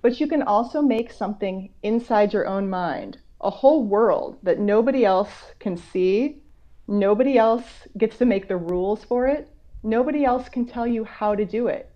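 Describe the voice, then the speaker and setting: Woman reading aloud, dull lo-fi audio. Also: mid-range voice. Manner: slow, measured reading broken by conversational commentary. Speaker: children's author reading her picture book aloud. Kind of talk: read-aloud with explanatory asides for children. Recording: dull, lo-fi online call audio.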